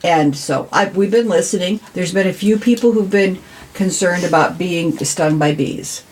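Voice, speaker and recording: A woman's voice: fairly low pitch, moderate pace, darkish tone. An American woman talking conversationally as an interview guest, earnest and emphatic, repeating words for stress. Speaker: city council member, podcast guest.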